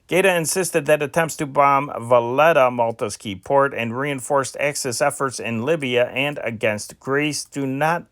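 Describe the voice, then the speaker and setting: A man reading old newspaper war dispatches aloud in a measured way, now and then stumbling and restarting a word. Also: mid-range voice, fairly bright tone, no echo, studio quality. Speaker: news reader.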